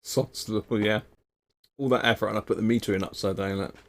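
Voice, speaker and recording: Male hobby host, low and gravelly, self-mocking and chatty, drifts off mic. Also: fairly even intonation, brisk. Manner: chatty, self-mocking, laughs at own mistakes. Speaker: radio hobby livestream host. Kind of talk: casual live-stream chat.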